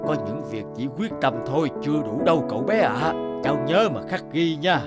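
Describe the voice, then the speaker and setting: An actor playing an elderly, stern and wise mouse elder in an animated cartoon, grave, measured and admonishing. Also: mid-range voice, lightly acted. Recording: music behind the voice.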